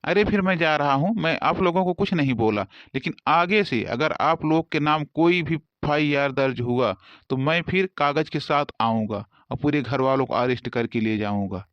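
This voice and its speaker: A man playing a blustering village cop in a comedy cartoon. His voice is fairly high, bossy and complaining, with an easily cowed streak, on a dull, dry recording. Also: normal speed, dry recording, natural voice. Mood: defensive. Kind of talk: comic cartoon dialogue.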